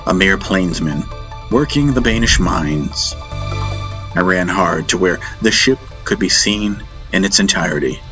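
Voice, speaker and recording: A man reading a dramatic first-person audiobook story in deliberate, theatrical phrases with weighty pauses. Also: low-pitched, sharp tone. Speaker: audiobook narrator. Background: atmospheric music soundtrack.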